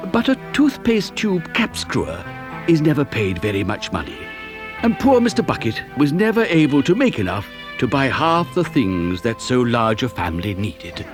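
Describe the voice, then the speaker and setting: An actor playing an exuberant, boastful chocolate maker who tells a children's story theatrically, savouring his words. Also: mid-range voice. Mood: storytelling, sympathetic. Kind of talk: dramatised children's story narration.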